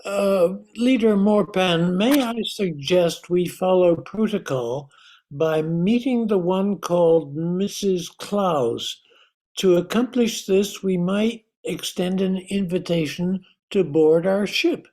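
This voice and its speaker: A woman playing a deferential alien chief counselor in an amateur radio play, formal and deliberate. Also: fairly low pitch, dark tone. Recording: noisy amateur recording with clicks.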